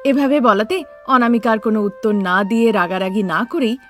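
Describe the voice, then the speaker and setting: A woman with a very high voice narrates a scripted story. Soft music plays faintly behind her storytelling. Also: normal speed.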